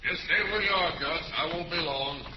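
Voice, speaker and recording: Guy doing impatient cop lieutenant, slightly high voice, crackly old radio comedy. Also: fairly quick, close to an everyday voice. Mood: businesslike. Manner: brusque, impatient. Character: no-nonsense, exasperated police detective lieutenant. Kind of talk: 1940s radio comedy acting. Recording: noisy muffled old radio recording.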